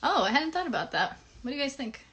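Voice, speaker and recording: A woman co-hosting a children's puppet show, chatting casually in an encouraging, gently instructive way. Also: high-pitched, very animated, fast. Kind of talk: casual interactive chat with children.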